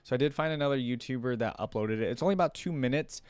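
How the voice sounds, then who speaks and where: A man doing casual reaction commentary, reading aloud and thinking out loud in a conversational, curious way. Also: medium pitch, rapid-fire, smooth, dry recording. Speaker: reaction video host.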